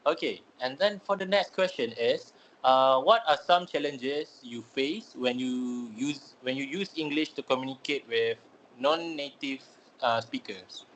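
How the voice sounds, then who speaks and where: A man's voice: mid-range voice, a little slow, a bit bright, lo-fi sound, slight background noise. A young man from Malaysia, a student asking interview questions hesitantly, with many fillers. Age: young adult.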